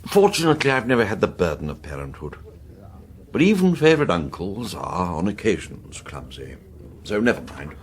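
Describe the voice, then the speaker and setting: An actor playing an urbane older British intelligence agent and uncle, wry, avuncular and unflappable, speaking in a leisurely upper-class manner in a radio drama. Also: male voice, low-pitched, very animated, normal speed. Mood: wry, soothing. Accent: British English.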